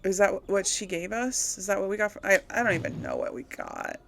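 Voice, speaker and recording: A woman chats her way through a video game in a voice full of fry. She thinks out loud and keeps asking herself questions in a casual way. A faint layer of noise and game ambience sits behind her. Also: mid-range voice, a little fast. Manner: thinking aloud, self-questioning. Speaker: gamer hosting a role-play playthrough.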